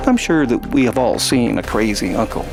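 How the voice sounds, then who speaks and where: A man's voice: medium pitch, lively intonation, normal speed, vocal fry, music in the background, low-quality recording. A man hosting and narrating a scripted science fiction story. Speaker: host and narrator.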